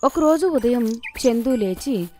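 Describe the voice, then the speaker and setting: A woman's voice: a little high, animated, slow pace, a little mellow, faint music behind. A woman storyteller reading a scripted children's moral tale.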